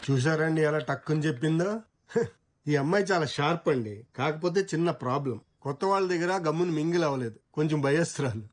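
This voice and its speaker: An actor playing a theatrical, showy office boss in a film drama. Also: male voice, a little high, fast, close to an everyday voice. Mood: jovial. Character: chuckling, theatrical office boss showing off his employee. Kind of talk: film dialogue.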